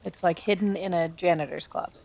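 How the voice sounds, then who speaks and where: A woman playing a tabletop game, chatting casually and playfully with quick quips. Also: lowish voice, steady tone, creaky, a little mellow. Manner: playful, quipping.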